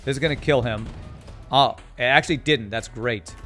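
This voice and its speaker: A male streamer with a calm, soothing voice that has gone a little tired after a long session. He chats easily over the game, reading comments aloud, with faint game music playing softly behind him. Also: medium pitch, moderate pace. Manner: chatty, reads chat aloud, laughs often. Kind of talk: casual live-stream commentary.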